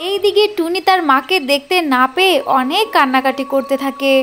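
A woman's voice: sits high, fairly quick, fairly bright tone, a little hiss or hum. A woman storyteller reading scripted narration for a children's cartoon.

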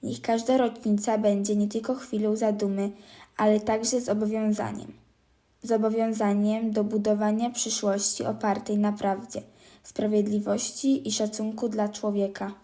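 A woman's voice: medium pitch, flat delivery, measured pace, dark tone. A young woman delivering a solemn scripted address in an earnest, formal manner. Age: young adult. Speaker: speaker in a commemorative radio play.

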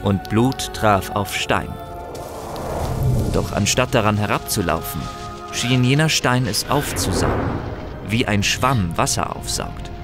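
A man narrating a fantasy audio drama in a grave, measured, scripted storytelling style. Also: lowish voice, lively intonation. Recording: music behind the voice.

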